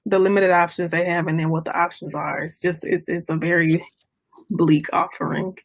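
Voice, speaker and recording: A woman hosting a casual book discussion, speaking thoughtfully and haltingly as she searches for her words. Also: fairly low pitch, level tone, dry recording. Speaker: book club discussion host.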